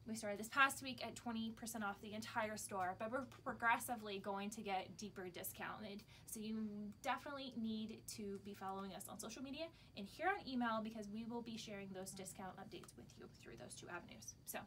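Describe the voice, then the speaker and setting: A woman's voice: mid-range voice, a little fast, few pauses, fairly bright tone. An American woman in her thirties making a casual video announcement to customers as a shop owner, recorded indoors a few steps from the camera. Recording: background noise, camera from a distance.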